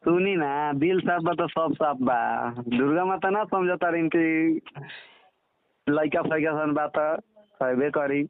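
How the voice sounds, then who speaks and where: A man's voice: a little high, slow pace, long pauses, no room sound. A man with a Bhojpuri accent chatting casually on a recorded phone call as a guest, agreeable and often repeating himself. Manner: eager, agreeable, repeats short affirmations. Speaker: comedian guest.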